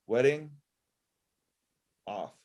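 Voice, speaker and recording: A man's voice: medium pitch, animated, extremely slow, a little mellow. A man playing a self-assured matchmaking boss with a casual manner in a comedy table read on a video call. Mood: resigned. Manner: casual, offhand. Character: breezy, self-assured male matchmaking business owner. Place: indoor room at home. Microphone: video call, sitting back from laptop.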